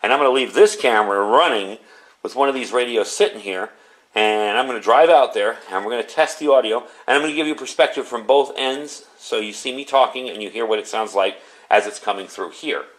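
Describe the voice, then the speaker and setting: A man's voice: medium pitch, fairly quick, a bit bright, rough recording. A man giving a casual, unscripted product review in a chatty, conversational way.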